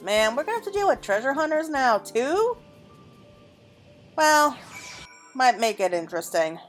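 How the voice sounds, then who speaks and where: A woman's voice: sits high, big pitch swings, a little slow, faint music behind. A woman giving casual, chatty commentary as she plays through a game, thinking aloud. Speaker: gamer narrating a playthrough. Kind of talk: casual gameplay commentary, also reading game dialogue aloud.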